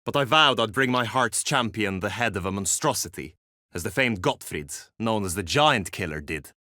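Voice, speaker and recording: A man's voice: on the low side, animated, moderate pace, sharp tone, no room sound, clean studio sound. A man playing an earnest, lovestruck young knight in a fantasy video game, speaking in formal, archaic, courtly phrases. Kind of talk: video game voice acting, medieval fantasy drama.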